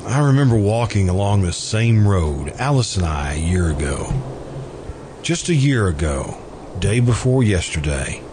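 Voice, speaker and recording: An actor playing a mild, courteous, lovelorn murderer in an American radio drama, speaking haltingly and politely. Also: male voice, on the low side, big pitch swings. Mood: wistful. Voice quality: hesitant, halting delivery. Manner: polite, deferential, quietly stubborn. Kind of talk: old-time radio drama acting. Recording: amateur radio recording, clearly noisy background.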